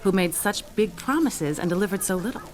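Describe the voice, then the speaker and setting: An actress playing a blunt, impatient book editor, stern, exasperated and demanding, in a radio drama. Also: female voice, medium pitch, expressive, brisk, a little mellow, natural voice. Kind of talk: modern radio drama dialogue.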